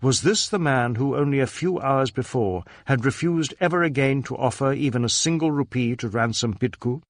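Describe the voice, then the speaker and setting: A man's voice: medium pitch, moderate pace, few pauses, dry recording, studio quality. A man reading a literary crime story as a scripted narration. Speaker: audiobook narrator.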